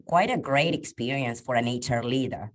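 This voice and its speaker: Low-voiced woman hosting a podcast. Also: moderate pace. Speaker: podcast host. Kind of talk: hosted interview.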